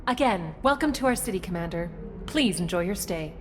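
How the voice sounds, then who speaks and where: A woman's voice: lowish voice, animated, fairly quick, a little hiss or hum, natural voice. A woman voicing a polite city greeter in a video game, speaking in a formal, courteous way. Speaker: receptionist. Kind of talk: video game cutscene acting.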